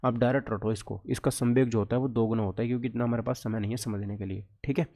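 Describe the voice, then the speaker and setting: A man's voice: medium pitch, fairly even intonation, fast talker, mellow. A man teaching an exam lesson conversationally, often asking questions and answering them himself. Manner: conversational, asks and answers his own questions. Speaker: physics teacher, exam coach.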